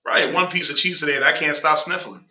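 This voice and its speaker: A man's voice: on the high side, fast talker, bright, forceful tone. A man with a Southern US accent and a stuffy nose teaching a casual Bible class, prompting a reader and joking with the group. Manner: conversational, prompts reader with "go ahead", jokes. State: stuffy nose, clearing throat. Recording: echoey room, distant mic.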